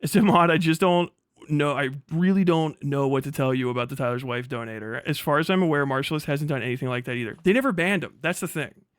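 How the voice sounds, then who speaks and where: Man, slightly high-pitched, dry close sound, livestream host, profane, agitated, vehement reaction rant. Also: quick pace, smooth, no echo. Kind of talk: livestream reaction rant, reading messages aloud.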